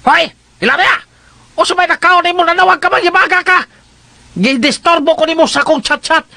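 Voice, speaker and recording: Squawky vain country boy, super high sharp voice, scolding, radio comedy, very dry sound. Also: fairly quick, creaky, dry recording, exaggerated voice. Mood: indignant. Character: vain, irritable, comic young man from the country. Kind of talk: comic radio drama acting.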